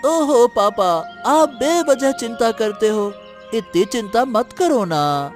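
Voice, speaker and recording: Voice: mid-range voice, lively intonation, slow, faint music behind. A performer playing a carefree, eager young village boy in a cartoon moral story. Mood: coaxing.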